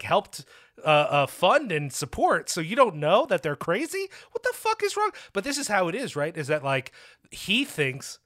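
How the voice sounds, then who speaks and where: Bright, animated man talking movies. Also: high voice. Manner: animated, swears, acts out quotes in mocking voices. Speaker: film podcast co-host. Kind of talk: casual film discussion.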